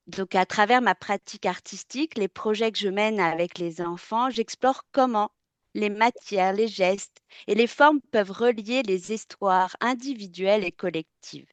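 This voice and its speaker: A woman from France, a textile artist giving a webinar presentation, speaking thoughtfully and often pausing with fillers and restarting words. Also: medium pitch, no echo.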